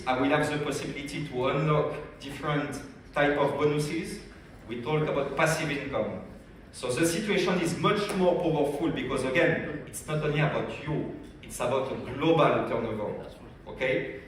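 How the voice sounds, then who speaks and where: A French-accented man speaks to a convention crowd with energy and keeps putting questions to the room. His voice sits a little high and carries a heavy hall echo. He sounds some distance from the mic, with faint room noise underneath. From France. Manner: energetic, addresses audience directly with questions. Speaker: business development director. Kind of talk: convention training talk.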